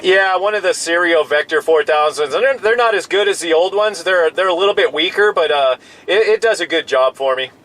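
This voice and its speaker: An American man with a voice a little higher than average talks as a CB operator. His delivery is casual and friendly, peppered with radio jargon. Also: fairly quick, few pauses, fairly bright tone, poor audio. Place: in a parked vehicle outdoors. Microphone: CB radio microphone.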